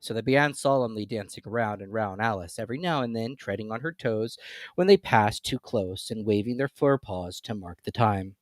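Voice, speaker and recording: An expressive, theatrical narrator giving a dramatic reading of a children's classic. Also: mid-range voice, normal speed, no echo, soft background music. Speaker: storybook narrator.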